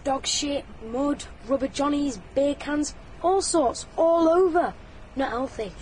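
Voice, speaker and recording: A woman's voice: high voice, slow, a little mellow, slight background noise, poor audio. A woman acting a blunt, scolding passer-by in a radio play, with brusque, clipped phrases. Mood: scolding, disgusted. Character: blunt, scolding woman rousing a rough sleeper.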